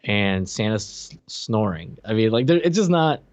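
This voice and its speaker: A man's voice: lowish voice, animated, normal speed, dry recording. A man in his thirties co-hosting a casual review chat in a joking, irreverent way. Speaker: podcast co-host. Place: dim room at home, video call.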